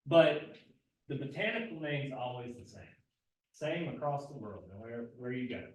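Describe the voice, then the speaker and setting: A soft-spoken man, an extension agent, teaching a gardening class and explaining at an easy pace with frequent fillers and restarts. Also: medium pitch. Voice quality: soft-spoken, not projecting. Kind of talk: live classroom lecture. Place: indoor class room. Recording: very echoey room, mic far from speaker.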